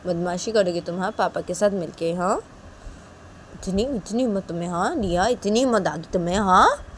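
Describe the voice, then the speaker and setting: Woman doing a strict mum scolding her kid, loud n naggy, keeps asking "huh?", faint noise behind. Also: medium pitch, normal speed, close to an everyday voice. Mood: stern scolding. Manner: scolding, repeating questions. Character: strict angry young mother, scolding.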